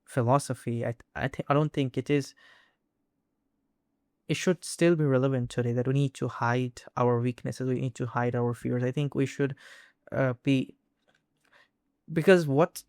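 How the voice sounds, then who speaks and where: A man's voice: medium pitch, fairly even intonation, a little mellow, no room sound, clean studio sound. A young man from India with an Indian English accent, talking casually to camera, hesitant with frequent restarts and fillers. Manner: halting, many restarts and fillers. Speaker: vlogger. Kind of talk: casual personal talk to camera.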